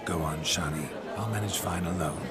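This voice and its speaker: An actor in a video game playing a gruff monster hunter possessed by a boisterous ghost, speaking in a roguish, flowery way. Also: male voice, sits low, moderate pace, over loud music, a loud crowd, close to an everyday voice. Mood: merry.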